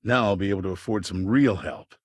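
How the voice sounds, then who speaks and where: An actor playing a slick, corrupt colonial politician in a video game, smooth-talking, smug and condescending with small evasive hesitations. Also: male voice, sits low, a little fast, no room sound, clean studio sound. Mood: cold, condescending. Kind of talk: video game character dialogue.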